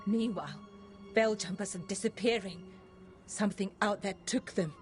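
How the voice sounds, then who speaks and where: A woman's voice: medium pitch, moderate pace, faint music behind, close to an everyday voice. A woman acting a grave, weary and urgent elf scout leader in a video game cutscene. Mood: grave, worried.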